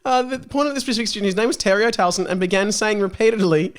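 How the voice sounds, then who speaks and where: A man's voice: sits high, very fast, smooth. A man in casual comic chat, reading a story aloud and riffing on it in a jokey, giggly, playfully exaggerated way. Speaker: podcast co-host reading a fan fiction story aloud.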